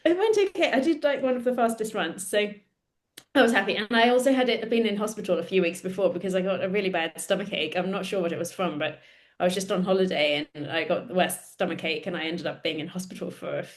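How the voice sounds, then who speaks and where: A woman's voice: mid-range voice, fast talker. A woman in her thirties, a podcast guest chatting casually, laughing often and self-deprecating. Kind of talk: casual interview chat.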